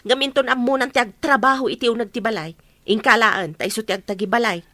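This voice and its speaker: A woman's voice: on the high side, quick pace, bright, forceful tone, no echo. A woman playing a hard-hearted, bitter wife in a radio drama, scornful and complaining.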